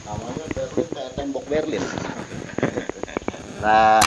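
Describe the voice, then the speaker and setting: A man's voice: medium pitch, slow. A man, questioning someone in an unscripted paranormal investigation, repeating questions and echoing back answers. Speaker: host questioning a supposedly possessed person. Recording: noisy lo-fi field recording with insects.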